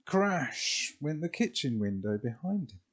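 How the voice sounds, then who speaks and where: A man reading a children's storybook expressively, in a deliberate way with drawn-out phrases. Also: medium pitch, big pitch swings.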